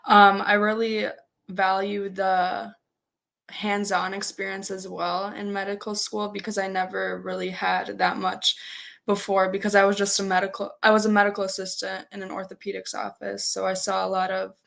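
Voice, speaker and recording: A woman in her twenties, a native Polish speaker, answering interview questions as a student in a halting, conversational way with frequent filler "like". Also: mid-range voice, completely flat delivery, vocal fry, fairly bright tone. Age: 20s. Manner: hesitant, peppered with "like". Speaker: interview guest, first-year medical student.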